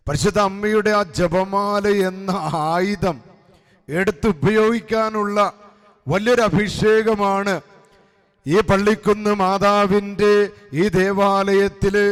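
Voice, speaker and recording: Guy with preaching, high voice, slow emphatic phrases, big church reverb. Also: fairly even intonation, clear, resonant tone. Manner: emphatic, exhorting, phrase-by-phrase delivery with pauses. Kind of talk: devotional sermon. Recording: long echoing hall reverb.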